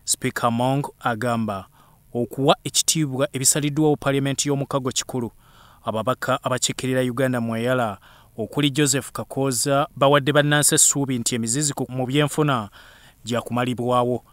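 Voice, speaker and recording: A male news reporter reads the voice-over at a quick clip. The sound is close and dry, with no room around it. His delivery stays brisk and even. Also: mid-range voice, dry recording.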